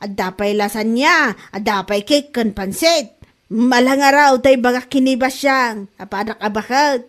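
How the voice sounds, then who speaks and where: A woman playing a high-voiced, showy Ilocano wife. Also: measured pace, natural voice. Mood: boastful. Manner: bragging, exclamatory. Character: boastful, showy married woman flaunting her Christmas feast. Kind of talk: Ilocano comedy drama acting.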